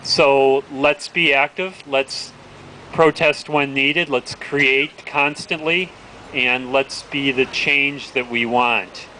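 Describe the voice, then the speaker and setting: A man's voice: mid-range voice, steady tone, slow, bright, forceful tone, rough recording. A man speaking informally as announcer at an outdoor rally, in a plain-spoken way. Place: outdoor town plaza. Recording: outdoor street noise behind.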